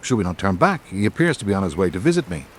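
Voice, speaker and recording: An actor playing a reflective literary traveller, narrating a radio drama in a measured, formal way. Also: male voice, sits low, sing-song, slight background noise. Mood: questioning. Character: reflective literary traveller recalling island life. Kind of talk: scripted first-person narration.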